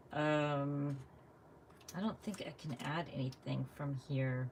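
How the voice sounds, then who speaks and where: A woman's voice: low-pitched, slow, slight background noise. A woman from the United States chatting casually while she crafts live, thinking aloud and laughing often. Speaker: craft vlogger.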